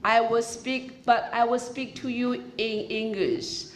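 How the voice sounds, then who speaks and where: A woman whose first language is Chinese, speaking English with a Chinese accent as master of ceremonies, giving a formal speech in careful, halting phrases. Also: on the high side, fairly even intonation, a little hiss or hum.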